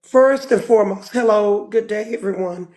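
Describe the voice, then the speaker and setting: Hoarse woman defending herself on a livestream. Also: mid-range voice, moderate pace, warm tone. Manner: defensive, repeats herself, speaks in short broken phrases. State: slightly hoarse. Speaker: livestream guest. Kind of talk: livestream call-in.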